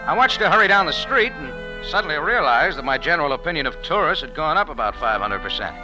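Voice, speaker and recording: American expatriate adventurer, vintage radio drama with music: mid-pitched, lively inflection, sardonic tough-guy wit. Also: quick pace, poor audio. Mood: wry narration. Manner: sardonic, dry, slangy. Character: wry, tough American café owner and adventurer. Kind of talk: first-person radio adventure narration and dialogue.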